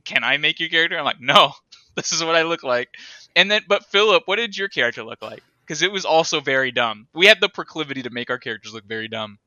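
A man co-hosting a podcast in casual chat, rambling and animated, laughing often. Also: a little high, fast talker, no room sound, highs cut off. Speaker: podcast co-host.

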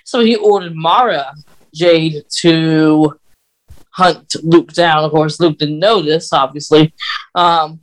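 A man with a fairly high voice talks casually as a podcast co-host. He explains fan lore in a halting way, often pausing in the middle of a sentence. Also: a bit bright, low-quality recording.

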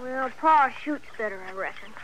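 A woman's voice: high-pitched, animated, moderate pace. An actress playing an eager, curious young frontier boy with folksy speech in a radio western. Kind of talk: 1950s radio western acting. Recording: noisy old radio recording.

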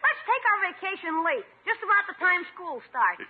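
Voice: very high voice, very animated, moderate pace. An actor playing a cheeky, wisecracking schoolboy nephew in a 1950s radio sitcom. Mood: eager. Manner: cheeky, teasing, casual. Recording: noisy narrowband 1950s radio recording.

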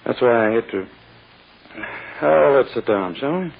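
An actor playing a smug, courting prosecutor with an unctuous, self-important, drawn-out manner in 1940s radio style. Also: male voice, medium pitch, expressive, gravelly, breathy edge, full character voice. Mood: oily, courting. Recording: muffled, hissy old radio recording.

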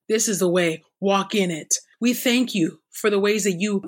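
A woman reading Bible passages aloud and then praying, earnest and measured, often repeating "oh God". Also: lowish voice. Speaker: Bible reader. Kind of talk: scripture reading and spoken prayer.

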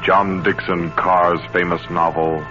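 Over loud music on a muffled vintage radio recording, a man announces in a very deep voice. His scripted delivery is formal and portentous, in 1940s broadcast style. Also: little pitch movement, slow pace.

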